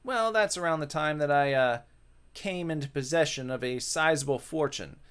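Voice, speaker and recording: A man's voice: fairly high pitch, moderate pace, a bit bright, clear, resonant tone, close to an everyday voice. A man voicing an old-fashioned male ghost, flustered and lovesick, with theatrical old-timey phrasing, for a visual novel. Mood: boastful.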